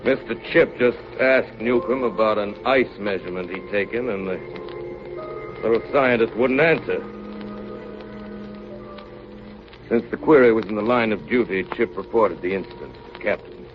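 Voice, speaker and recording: A somber ship's officer acted by a man narrates a log in a 1930s radio play. His very low voice is measured, in a muffled old broadcast with music behind. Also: lightly acted.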